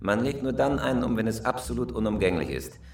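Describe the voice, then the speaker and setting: An actor playing a cold, unflappable professional armed robber in a radio crime drama, terse, commanding and matter-of-fact. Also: male voice, mid-range voice, lively intonation, fast, lightly acted. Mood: cool, controlled.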